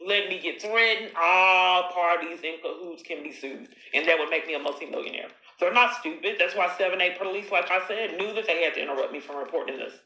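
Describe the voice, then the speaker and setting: A woman's voice: on the low side, brisk, few pauses, bright, forceful tone. A woman talking in an insistent, accusatory way, confronting someone on a call and running into long, repetitive monologues. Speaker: caller recording her own phone call. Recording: echoey room.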